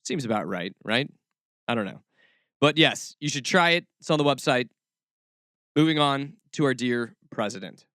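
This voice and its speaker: A man's voice: medium pitch, expressive, moderate pace, pauses often, a bit bright, no echo. A man hosts a podcast in a close, dry recording with a middle-range voice. He talks casually through his commentary, dropping in wry and sarcastic asides. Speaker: podcast host. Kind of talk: casual talk show commentary.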